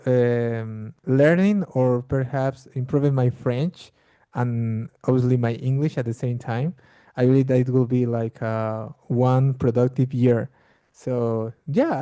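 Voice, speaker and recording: Halting, very dark-voiced man. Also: medium pitch, no room sound. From Bolivia. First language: Spanish. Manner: halting, repeats words while searching for them. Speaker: language exchange participant. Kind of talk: casual conversation practice.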